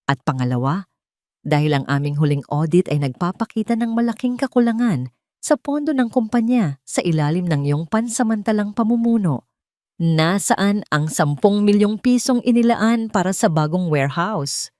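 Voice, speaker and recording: A woman's voice: mid-range voice, animated, moderate pace, darkish tone, no echo, lightly acted. A composed, slightly smug company lawyer in an audio drama, played by a woman. He speaks formally and precisely in a dry, close recording. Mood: coolly accusing.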